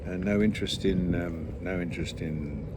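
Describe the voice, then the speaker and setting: A man, chatting in a rambling, halting way full of fillers and restarts, with dry jokes. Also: deep voice, background noise. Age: 70s. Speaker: vlog host. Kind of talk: casual interview chat. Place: outdoors on a boat.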